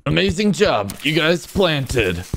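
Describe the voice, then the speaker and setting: A man's voice: on the high side, sing-song, takes it slowly, vocal fry, a bit bright, a little hiss or hum, exaggerated voice. A man voicing an earnest young stick-figure cook who leads his friends in an amateur cartoon dub, giving instructions. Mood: eager, instructing.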